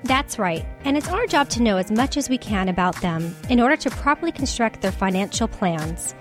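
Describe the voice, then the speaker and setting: A woman co-hosting a radio show, reading scripted promo copy in a bright, sales-like way. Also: on the high side, animated, brisk. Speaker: radio show co-host, financial advisor. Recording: music bed underneath.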